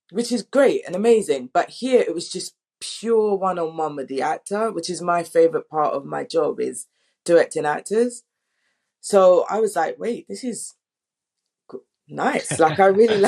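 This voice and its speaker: A woman's voice: lowish voice, darkish tone. A woman speaking as a director in a casual interview, chatty and often interrupting herself. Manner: chatty, halting, self-interrupting. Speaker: director, panel guest.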